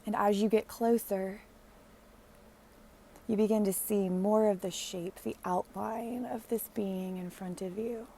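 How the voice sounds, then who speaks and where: A woman leading a guided meditation in a gentle, hushed, soothing way, leaving long pauses. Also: mid-range voice, fairly even intonation, slight background noise.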